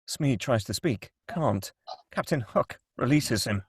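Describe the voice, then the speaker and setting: A man's voice: medium pitch, lively intonation, takes it slowly, breathy edge, no room sound, clean studio sound. A man reading screenplay stage directions as narrator at a table read.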